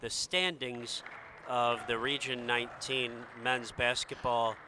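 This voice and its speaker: A man giving conversational color commentary on a live basketball game, with a halting delivery. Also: medium pitch, level tone. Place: indoor basketball gym during game. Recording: noisy gym with bouncing balls.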